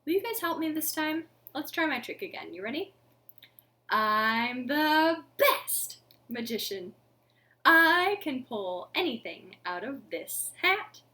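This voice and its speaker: A woman in her thirties reads to young children as a storytime host. Her voice sits fairly high and swings widely in pitch. She speaks very slowly, in an expressive sing-song way, addressing the children directly. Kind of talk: children's storytime, interactive read-aloud. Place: living room at home.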